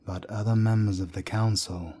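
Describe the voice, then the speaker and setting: A man playing a husky, soft-spoken werewolf elder. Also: fairly low pitch, fairly even intonation, normal speed. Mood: warm, curious. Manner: soft-spoken, gently chiding. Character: calm older male werewolf elder, fatherly. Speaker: council elder.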